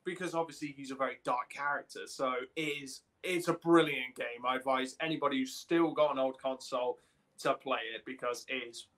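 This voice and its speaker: A man's voice: mid-range voice, steady tone, a little fast, fairly bright tone. A young man chatting casually as a podcast co-host on a video call. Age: young adult. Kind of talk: casual chat. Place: indoor room on a video call.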